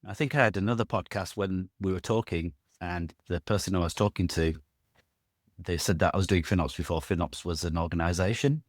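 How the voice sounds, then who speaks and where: A man's voice: low voice, steady tone, fairly quick, dry recording, studio quality. A middle-aged man, a podcast guest talking casually in a rambling, self-correcting way with jokey asides. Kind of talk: casual conversational interview.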